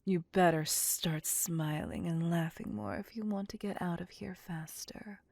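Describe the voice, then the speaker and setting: A woman playing a possessive, cocky vampire captor in an ASMR roleplay, with a breathy, husky, teasing and domineering whisper. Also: on the low side, a little mellow, no room sound. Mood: teasing, smug. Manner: slow, teasing, seductive, domineering. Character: possessive, cocky, sadistic female vampire captor, playfully menacing. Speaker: voice actor performing audio roleplay.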